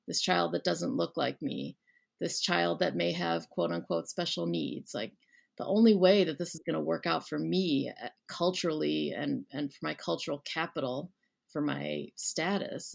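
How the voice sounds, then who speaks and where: Deep, gravelly-voiced woman. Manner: intense, analytical, building arguments. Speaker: adult adoptee panelist. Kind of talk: passionate panel conversation.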